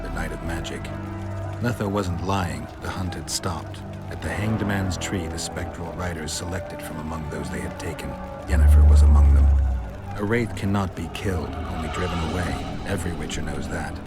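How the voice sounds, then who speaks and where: Actor as a low, gruff stoic monster hunter. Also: male voice, moderate pace, over loud music, natural voice. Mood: brooding. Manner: terse, deadpan. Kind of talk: video game voice acting.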